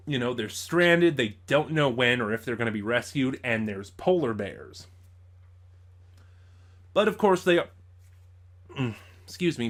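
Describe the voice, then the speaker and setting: A man's voice: mid-range voice, a bit bright. A man reviewing in an informal commentary style, thinking aloud and correcting himself as he goes. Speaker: audio drama reviewer.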